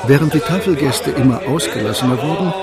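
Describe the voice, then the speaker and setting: A man's voice: medium pitch, fast, a loud crowd. A man with a standard German accent narrating a scripted radio drama. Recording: music under the voice. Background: music.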